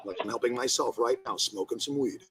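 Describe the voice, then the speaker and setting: A man giving a personal monologue in a husky voice, blunt and profane, pausing between phrases. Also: mid-range voice. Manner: blunt, profane, halting with pauses. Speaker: comedian and charity founder.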